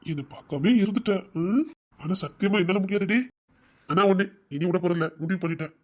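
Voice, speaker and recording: A performer playing a possessive but tender young man in an audio romance drama, speaking softly and coaxingly. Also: mid-range voice, a little fast, lightly acted. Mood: pleading, insistent. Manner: soft, hushed, coaxing.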